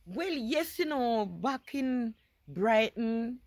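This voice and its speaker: A woman's voice: on the high side, lively intonation, very slow, a little mellow, no echo. Bubbly older Jamaican woman with a lisp. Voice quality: slight lisp. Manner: bubbly, vivacious. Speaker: retired school principal, guest. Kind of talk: casual interview chat.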